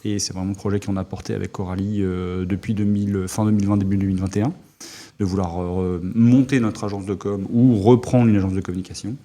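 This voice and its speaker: A middle-aged man from Alsace, a former army officer, talking as an interview guest in a halting way with many fillers. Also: deep voice, level tone, dark tone. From Alsace, France. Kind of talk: casual interview talk.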